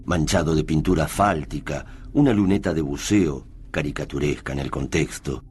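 A man's voice: rumbling low, moderate pace, darkish tone, breathy edge. A man narrating a radio drama in a solemn, literary style. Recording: noisy ambient sound bed.

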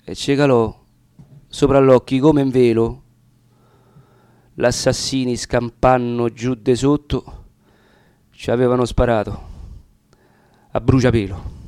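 A man's voice: mid-range voice, flat delivery, slow, long pauses, dark tone, clean tone, dry recording, clean studio sound. A man with a Roman accent reciting dialect verse for radio in a theatrical, impassioned storytelling manner. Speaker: reader of dramatic verse.

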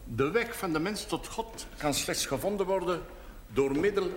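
Preaching teacher in an echoing hall. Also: male voice, high-pitched, lively intonation, normal speed. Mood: solemn, certain. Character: solemn religious teacher addressing a young man. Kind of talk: television drama acting. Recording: echoey hall with background noise.

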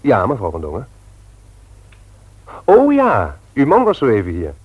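An actor playing a good-humoured young husband and bookseller in a Dutch radio play, with a casual manner. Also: male voice, mid-range voice, huge pitch swings, a little slow, slightly rough, narrow, tinny audio, full character voice. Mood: polite, pleased. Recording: radio drama recording.

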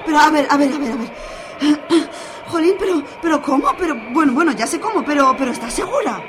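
Actress as a higher-voiced, exasperated young Spanish woman. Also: animated, fairly quick, noisy background. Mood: startled, flustered. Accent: Spain. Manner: stammering, exclamatory, exasperated. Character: exasperated young woman, sensible, flustered friend. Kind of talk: audio drama acting.

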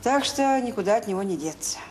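An actress plays a knowing middle-aged orphanage worker in a radio drama. She speaks at a medium pitch, frankly and with measured, gentle irony, over a clearly noisy old recording. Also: lively intonation, lightly acted. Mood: frank, sad. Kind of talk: Soviet radio drama acting.

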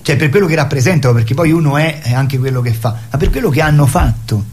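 A man of medium pitch speaks as an opinionated interview guest. He argues with emphasis and polemical conviction. His phrasing halts, doubles back and repeats itself as he presses the point. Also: fast, darkish tone. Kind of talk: impassioned opinionated conversation.

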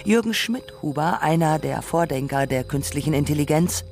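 A narrator with delivering scripted radio feature text in a measured, articulate way. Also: medium pitch, lively intonation, gravelly, faint music behind.

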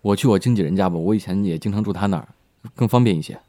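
A man's voice: deep voice, very fast, warm tone, dry recording, close to an everyday voice. A man playing a polite, modest and considerate character in an audio drama. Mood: polite, reluctant.

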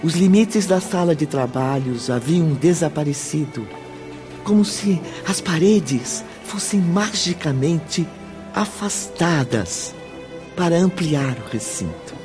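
A man's voice: a little high, expressive, takes it slowly, music in the background. A man narrating a radio drama from a literary script in formal language.

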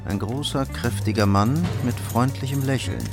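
A man's voice: medium pitch, moderate pace, music in the background. A man reading scripted narration as the narrator of a crime radio drama.

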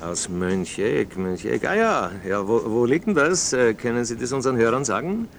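An amused late-night radio jockey in a radio drama. His fry-edged voice swings widely as he repeats himself and teases his callers with nosy, condescending questions. A faint noise sits underneath. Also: mid-range voice, very animated, moderate pace. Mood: affable, probing. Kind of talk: radio phone-in acting.

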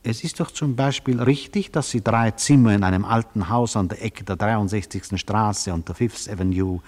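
An actor playing an aging, dry, official judge who questions the accused in formal, measured phrases in a radio play. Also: male voice, lowish voice, darkish tone, natural voice. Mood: brisk, official. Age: older. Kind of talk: 1970s literary radio play acting. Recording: long reverb of a hall.

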